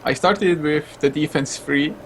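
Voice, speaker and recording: A man with an Estonian accent answers interview questions in a hesitant, self-conscious way, with a few nervous laughs. His voice is gravelly and sits a little high. A faint background hiss runs under the recording. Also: measured pace. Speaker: esports caster.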